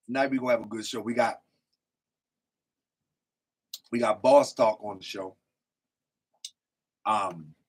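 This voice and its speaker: A man hosting a casual live call-in show, hoarse and losing his voice, rambling with stammering restarts. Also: medium pitch. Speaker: host.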